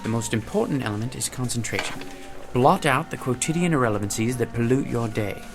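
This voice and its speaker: A male actor plays a fussy, pompous man of the office in a TV drama. He speaks like a self-important scholar, enunciating each word with very mobile intonation. Music plays clearly behind him. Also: mid-range voice, expressive, brisk, lightly acted. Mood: intrigued, scheming. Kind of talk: television drama acting. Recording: background music under dramatic dialogue.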